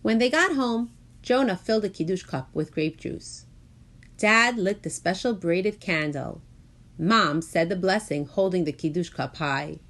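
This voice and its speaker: A woman reads a children's story aloud at an unhurried pace. Her pitch swings widely and she shapes each sentence with deliberate expression. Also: animated, fairly bright tone. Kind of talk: animated picture-book read-aloud.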